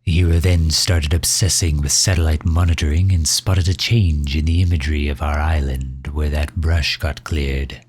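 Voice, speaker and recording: A man's voice: a bass voice, fairly even intonation, normal speed, few pauses, darkish tone, breathy edge, dry recording, studio quality. A man playing a pompous, suave megalomaniac villain in an ASMR audio roleplay, with leisurely, formal wording and impatience toward his servant. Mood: musing, amused.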